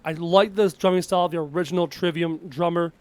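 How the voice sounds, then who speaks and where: A young Canadian man streams a game live and chats with viewers, his voice on the high side for a man. He talks loosely, reads out questions, and breaks into frustrated outbursts at the game. A slight sickly cough hangs over his voice. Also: steady tone, fast talker, a little mellow, no echo. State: sick with a cough. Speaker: gaming streamer. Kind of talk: casual live-stream chat while playing.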